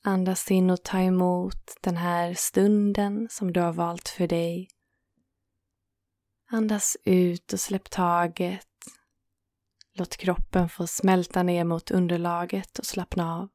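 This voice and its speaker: A woman guiding a yoga nidra relaxation in a calm, soothing manner, leaving gentle pauses between phrases. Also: on the low side, no room sound, clean studio sound. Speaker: meditation guide and host.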